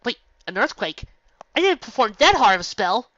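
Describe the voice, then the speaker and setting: A woman putting on a fretful young unicorn mare, her voice jumping high and wildly lively as she pleads in a breathless rush. The recording is dry and a little dull. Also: quick pace, no room sound. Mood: panicked. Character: anxious bookish young female unicorn.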